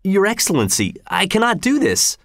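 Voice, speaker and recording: Casting: audiobook reader as proud father, respectful but anguished, very lively pitch. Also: moderate pace, no echo, clean studio sound, lightly acted. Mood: anguished pleading. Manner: deferential, pleading.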